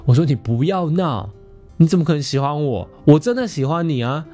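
A man's voice: a little high, expressive, a little fast, mellow, soft background music. A man in his thirties with a Taiwanese Mandarin accent, hosting a podcast and telling a personal story in a theatrical, playful way. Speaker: podcast host.

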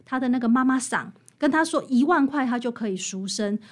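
High-voiced Taiwanese woman explaining. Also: expressive, fairly quick. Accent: Taiwanese Mandarin. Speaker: guest author interviewed about her book. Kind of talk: conversational explanatory interview.

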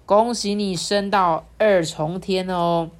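A woman voicing a stern, commanding heavenly king who speaks slowly and emphatically. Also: mid-range voice, animated. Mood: pleased. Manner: slow and emphatic.

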